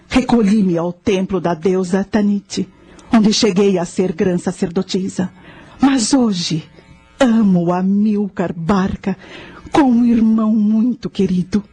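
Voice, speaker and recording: A woman playing a solemn ancient high priestess, now a spirit, in a radio drama, husky and measured. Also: warm tone. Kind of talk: radio drama monologue and narration.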